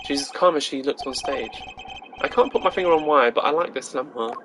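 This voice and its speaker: A woman's voice: a bass voice, brisk, background noise, pitched below the performer's own voice. A woman voicing an earnest, wry young male defence attorney in an amateur video game voice-over, dry and a little hesitant. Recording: game music behind the voice.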